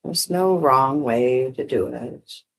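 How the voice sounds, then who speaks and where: A meditation teacher guiding breath and visualization in short, gently permissive phrases with long pauses. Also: mid-range voice, gravelly, low-quality recording. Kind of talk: guided meditation, unhurried instruction.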